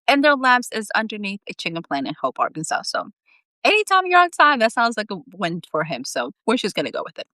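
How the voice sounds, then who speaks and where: A woman author reading her own fiction aloud in an animated storytelling style, dropping in chatty, informal, self-deprecating asides. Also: mid-range voice, dry recording.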